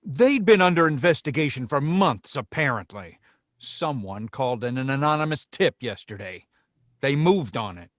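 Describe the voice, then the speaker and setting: A man's voice: medium pitch, expressive, normal speed, fairly bright tone, no echo, studio quality, lightly acted. A man acting as a domineering, accusatory boss of a detective agency in a screenplay table read. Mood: bitter.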